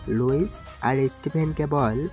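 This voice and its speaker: A man's voice: mid-range voice, slow, darkish tone, soft background music, close to an everyday voice. A man playing a cold, mocking young mafia boss in an audio drama, speaking in a commanding way. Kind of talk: Bengali audio story acting.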